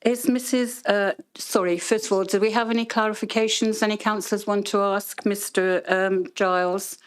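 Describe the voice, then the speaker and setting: A woman chairing a council committee, polite but brisk in moving speakers on. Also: medium pitch, fairly even intonation, clear, resonant tone.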